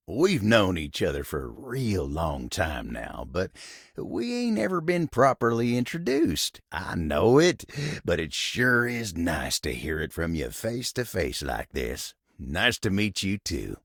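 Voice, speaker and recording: A man's voice: on the low side, creaky, fairly bright tone, dry recording, clean studio sound, exaggerated voice. A man voicing a friendly, humble, hard-working talking table in a video game, with a folksy, neighborly American country drawl.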